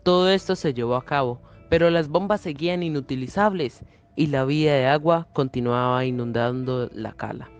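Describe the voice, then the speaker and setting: A man's voice: medium pitch, moderate pace, dull audio. A young man reading a scripted, dramatic story as narrator. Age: young adult. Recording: music playing clearly behind the voice.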